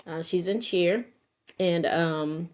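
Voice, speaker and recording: A woman's voice: lowish voice, takes it slowly, a little mellow. A middle-aged woman narrating an informal step-by-step tutorial, unscripted and thinking aloud as she works. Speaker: craft tutorial host.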